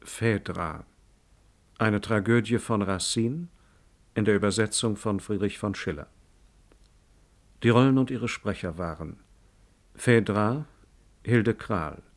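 A man announcing a radio play and its cast in a formal, measured manner, phrase by phrase. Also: fairly low pitch.

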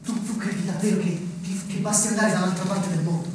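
A man's voice: high-pitched, steady tone, fast talker, close to an everyday voice. An actor playing a bitter, accusing small-town man, insistent and confrontational in theatrical stage acting. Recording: very echoey, noisy, distant stage recording.

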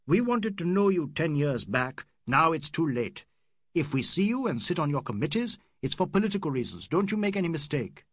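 A man reading an excitable, proud young Indian man who shouts in impassioned, quick rising outbursts. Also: fairly high pitch, husky, no echo, clean studio sound, pitched above the performer's own voice, heavily acted. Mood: heated.

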